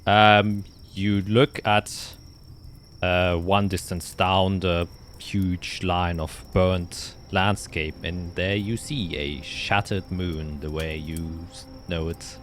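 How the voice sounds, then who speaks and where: A man improvising narration as game master of a tabletop fantasy game, often pausing to search for his words. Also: low-pitched, fairly even intonation, a bit bright, slight background noise.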